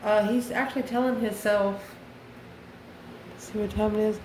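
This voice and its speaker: A woman's voice: mid-range voice, fairly even intonation, a little slow, darkish tone. Woman tarot reader in an echoey, noisy room. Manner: folksy, familiar, addresses listener as honey and baby. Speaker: tarot reader, channel host. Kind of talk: tarot reading addressed to viewers. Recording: echoey room with clear background noise.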